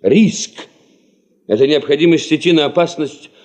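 An actor playing a principled, sceptical, cautious geologist who leads a field party in a Soviet radio drama, speaking formally and precisely. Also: male voice, on the high side, normal speed, a little mellow, natural voice. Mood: cautious, matter-of-fact.

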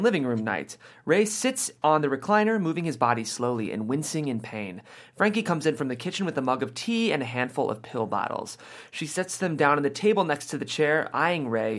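Mid-pitched screenplay narrator. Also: a bit bright. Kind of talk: reading screenplay stage directions aloud.

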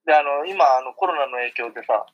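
A man speaking as a guest in a casual radio interview, polite and halting, often restarting his sentences. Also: medium pitch, breathy edge, dull audio. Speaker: guest, trampoline instructor. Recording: remote online call recording.

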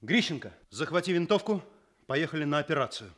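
A curt young male police chief in a radio play. His voice is slightly high with lively swings, clipped and commanding in a businesslike way. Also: fairly quick. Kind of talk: Russian radio play acting.

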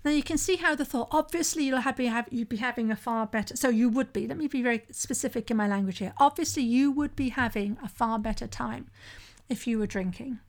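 A woman's voice: a little high, fairly quick. A middle-aged woman hosting a solo podcast, talking conversationally and candidly about her own experiences, often checking in with the listener. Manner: conversational, frequently asks "right?" to the listener.

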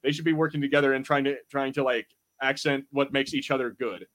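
A man's voice: medium pitch, steady tone, fast talker, a bit bright. A man hosting a podcast in a casual, informal, slangy chat style. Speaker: podcast host.

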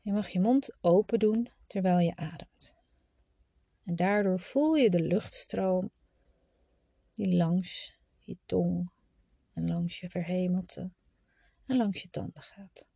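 A woman leading a guided meditation in a soft, soothing, instructive manner, at times trailing into a breathy whisper. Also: lowish voice, no echo, studio quality. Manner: informal, instructive, with unhurried pauses.

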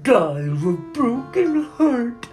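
A woman's voice: on the high side, sing-song, slow, a little mellow, faint music behind, pitched above the performer's own voice. A woman voicing a grumpy, sarcastic old male rat in a storybook, growling and complaining mockingly. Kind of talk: dramatic storybook character reading.

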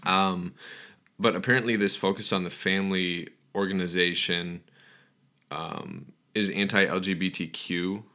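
A man's voice: low voice, steady tone, a little slow, pushed and bright. A young man hosting a casual solo podcast, groggy after just waking up, rambling with frequent ums and restarts. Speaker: podcast host.

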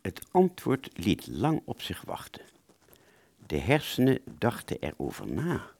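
A man's voice: on the low side, wildly swooping pitch, unhurried, creaky, darkish tone, no room sound. A man narrating a first-person story for an audio play, hesitant and conversational, drawing out words and suddenly stressing others.

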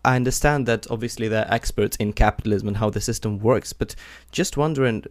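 A man's voice: lowish voice, a little fast, no echo, clean studio sound. A young man from Ukraine hosting a podcast interview, curious and chatty, with many fillers. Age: young adult. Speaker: podcast host. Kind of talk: conversational interview.

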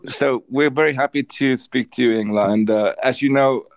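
A man's voice: mid-range voice, brisk, a little hiss or hum. A man hosting a podcast, chatting casually and rambling through his questions with many hesitations. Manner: rambling, hesitant, lots of fillers. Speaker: podcast host. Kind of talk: casual conversation.

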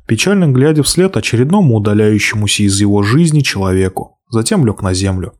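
A man reading a fairy tale aloud, expressive, slowing and hushing for the sad passages. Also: on the low side. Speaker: narrator. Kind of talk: storybook reading.

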